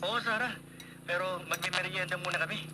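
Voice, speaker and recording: An actor playing a friendly, considerate young college student in a radio drama. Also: male voice, sits high, steady tone, brisk, close to an everyday voice. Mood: friendly, concerned. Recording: very noisy radio drama broadcast.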